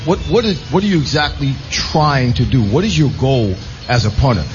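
A man's voice: medium pitch, expressive, normal speed, soft background music. A man co-hosting a live radio show, asking questions casually and often repeating his opening words. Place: busy casino floor, live broadcast. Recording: dull sound over loud venue noise. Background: loud casino ambience.

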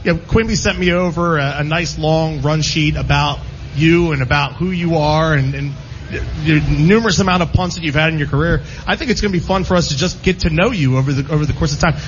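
A man's voice: a little high, brisk. A man hosting a live sports radio show, bantering and rolling through long introductions. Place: live remote broadcast at a casino. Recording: dull lo-fi broadcast with faint background noise.

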